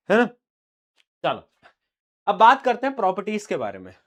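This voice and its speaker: Young teacher with a sore throat. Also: male voice, sits high, wildly swooping pitch, a little slow. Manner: informal, teasing, addresses students as beta. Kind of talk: live online lecture.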